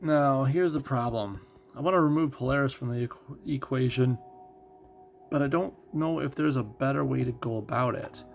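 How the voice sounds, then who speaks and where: A man's voice: medium pitch, moderate pace, gravelly. A man doing casual live commentary as a game streamer, explaining things conversationally and thinking aloud.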